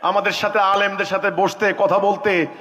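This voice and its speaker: High-pitched Bangladeshi man orating in echoing reverb. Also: level tone, moderate pace, sharp tone. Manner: emphatic, rhetorical, repeats phrases for effect. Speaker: religious movement leader addressing a conference. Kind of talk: impassioned public speech at a rally. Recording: echoing PA sound with long reverb.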